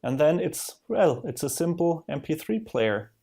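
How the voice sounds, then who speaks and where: A man narrating a casual software screen demo in a halting way, thinking aloud as he goes. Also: on the high side, sing-song. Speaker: software demonstrator. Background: music from audio players being demonstrated.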